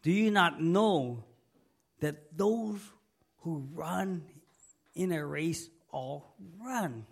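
A middle-aged man preaches a sermon in a church, taking his time over each phrase. His voice sits a little high and has a gravelly fry, worn down by a cold. He leans hard on repeated words and calls on the room for an amen. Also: expressive, very slow. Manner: emphatic, repeats key words, asks the congregation for an amen. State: losing his voice, sick. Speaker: pastor. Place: church sanctuary.

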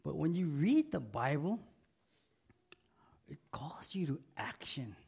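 Middle-aged male pastor, gravelly and losing his voice, slow and emphatic sermon delivery. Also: a little high, big pitch swings, darkish tone. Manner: emphatic, repeats key words, asks the congregation for an amen. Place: church sanctuary.

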